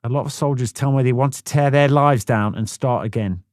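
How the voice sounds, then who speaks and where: A man's voice: medium pitch, brisk, a little mellow, no echo, clean studio sound. A man narrating a first-person memoir audiobook in a blunt, plain-spoken way, swearing freely.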